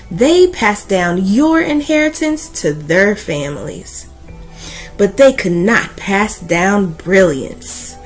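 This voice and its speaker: A woman reading a children's picture book aloud as host, in a deliberate, emphatic way with dramatic pauses. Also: mid-range voice, poor audio.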